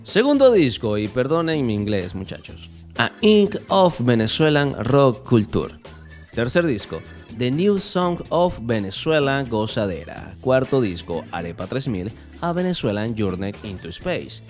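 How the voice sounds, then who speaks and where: A man's voice: medium pitch, sing-song, measured pace, darkish tone. A man with a Latin American Spanish accent, hosting a music radio podcast segment in a scripted, emphatic announcer style. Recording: music playing behind the voice.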